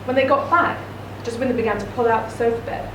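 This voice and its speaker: A woman's voice: on the high side, fast. A woman reading a short story aloud at a live reading event, narrating steadily. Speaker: reader. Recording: echoey room, distant mic, noisy.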